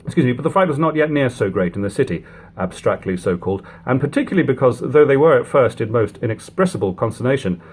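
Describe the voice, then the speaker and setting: A man reading an old literary book aloud in a measured, phrase-by-phrase narration, on a lo-fi home recording. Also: medium pitch, lively intonation, husky. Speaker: audiobook reader. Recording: lo-fi home recording, background hum, noise.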